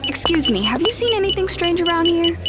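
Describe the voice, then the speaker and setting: An actress playing a pushy, chirpy young ghost hunter in an audio drama. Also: female voice, very high voice, sing-song, brisk, heavy background noise. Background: loud background music.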